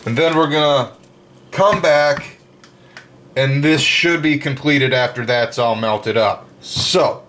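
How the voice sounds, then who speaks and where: Slow, easygoing man cooking at home. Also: mid-range voice. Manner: slow, relaxed, chatty with frequent fillers. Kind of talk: casual cooking narration. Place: home kitchen with open windows.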